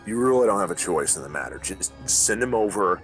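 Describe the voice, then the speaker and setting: A man's voice: sits low, animated, over music. A man acting a creepy, domineering online creep. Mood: angry, threatening. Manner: coaxing, menacing, halting. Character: creepy, wheedling, domineering adult male online predator. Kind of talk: voice call conversation.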